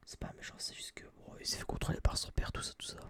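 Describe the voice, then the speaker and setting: A young man whispering a casual, slangy, rambling review in breathy ASMR style, close to a binaural microphone. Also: fast talker, fairly bright tone. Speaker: ASMR video creator.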